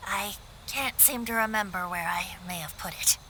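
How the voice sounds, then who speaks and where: A woman's voice: mid-range voice, normal speed, sharp tone, a little hiss or hum, natural voice, poor audio. A woman voicing a carefree young male bard in a video game, airy, playful and courteous. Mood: apologetic.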